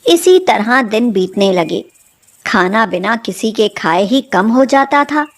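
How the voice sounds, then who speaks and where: A woman narrates a scripted story in a high voice. Her pace is slow and measured, in the manner of a bedtime storyteller. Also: animated.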